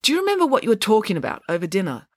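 Lady reading as smart blunt school girl detective in a storybook, matter of fact, lively pitch. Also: rapid-fire, no room sound, studio quality. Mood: analytical.

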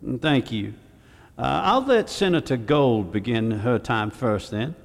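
A man's voice: medium pitch, expressive, measured pace, vocal fry. A man playing a fussy, flustered senator chairing a hearing in a podcast drama, formal yet hesitant. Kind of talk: naturalistic fiction podcast acting. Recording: long echo of a large hearing hall.

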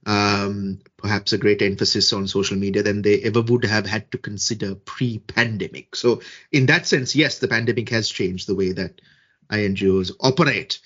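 Podcast guest, man from Sri Lanka, measured and deliberate, slightly raspy tone, somewhat lo-fi sound. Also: lowish voice, steady tone, a bit bright. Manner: measured, deliberate, with frequent fillers and restarts. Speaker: guest expert on social media and peace building. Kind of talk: podcast interview answers.

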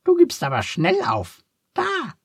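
A shrill, squeaky little duck lady quacks and bosses everyone around, as a man puts her on. Her voice leaps up and down with brisk, fussy energy. She sounds close and dry, like a storybook read-aloud. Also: huge pitch swings, gravelly, fairly bright tone, husky, dry recording, heavily acted. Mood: excited. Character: chirpy bossy female duck, quacking and fussy.

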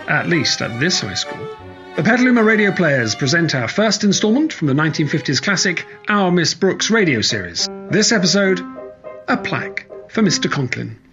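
A man's voice: a little high, a bit bright. A man announcing a radio drama program in scripted, promotional narration. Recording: dull sound, faint music behind. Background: music.

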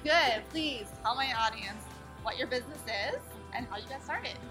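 A woman's voice: a little high, moderate pace, music in the background. A woman hosting a local podcast, asking friendly, chatty questions. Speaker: podcast host. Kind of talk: casual interview chat. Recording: noisy background.

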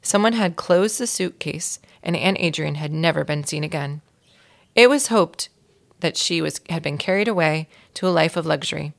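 Low, creaky-voiced woman reading a story. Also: moderate pace. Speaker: guest story reader. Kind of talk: expressive children's audiobook narration.

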